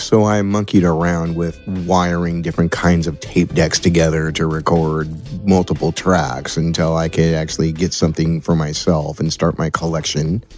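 Casting: American male with a deep voice, podcast guest explaining technical work, light music underneath. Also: darkish tone. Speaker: audio producer and sound designer, podcast guest. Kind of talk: interview answers, explanatory talk.

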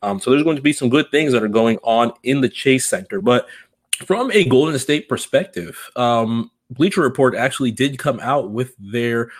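A man hosting a sports discussion, speaking in a measured, wordy way with rather formal phrasing. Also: mid-range voice, expressive. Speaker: sports podcast host.

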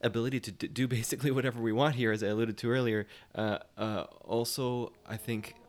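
A man's voice: mid-range voice, steady tone, fast talker. A man speaking as a playwright guest in a casual panel discussion, earnest and hesitant as he forms his thoughts.

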